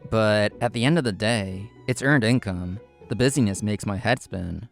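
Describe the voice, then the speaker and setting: A man's voice: fairly low pitch, moderate pace, vocal fry, soft background music. A man playing a proud, competitive young consultant in a manga drama, intense and curt, narrating and speaking his lines. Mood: determined. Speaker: narrator and main character.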